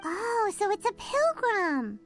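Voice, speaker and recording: A woman voicing a tiny, cheeky, excitable fairy companion in a video game, chirpy and teasing. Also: very high-pitched, slow pace, soft background music, full character voice. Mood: wry.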